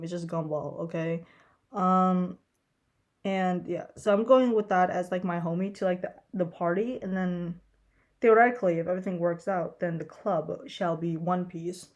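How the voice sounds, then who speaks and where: A woman's voice: lowish voice, darkish tone. A young woman, a college student, chatting casually while getting ready, stuffed up and congested, rambling with lots of fillers, swearing and laughing. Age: young adult. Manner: rambling, profane, giggly, many fillers. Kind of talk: casual get-ready-with-me vlog chat.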